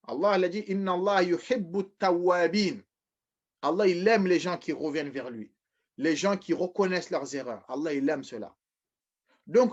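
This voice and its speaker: A man giving a religious lecture, repeating phrases, often restarting, and addressing his listeners as brothers and sisters. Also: high voice. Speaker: preacher. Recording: dull lo-fi recording.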